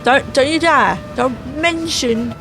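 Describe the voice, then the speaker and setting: A woman's voice: high-pitched, takes it slowly, bright, forceful tone. A woman giving sarcastic, exasperated voice-over commentary on a racing game while losing her voice. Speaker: gaming channel host. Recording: voice over clear background music.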